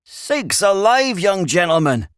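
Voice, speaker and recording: Man acting an old rustic English shepherd, animated. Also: on the high side, unhurried, no echo, clean studio sound, voice pushed up. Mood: alarmed. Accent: rural English. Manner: emphatic, folksy dialect speech. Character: old country shepherd, grave, warning, rustic.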